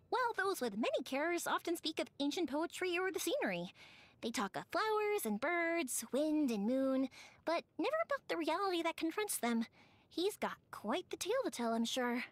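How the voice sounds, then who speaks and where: A woman voicing a cheerful, warm-hearted young fireworks maker who tells stories in an animated, chatty way, as a video game character. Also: sits high, moderate pace, no echo. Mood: warm, earnest. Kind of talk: video game character voice lines, conversational storytelling.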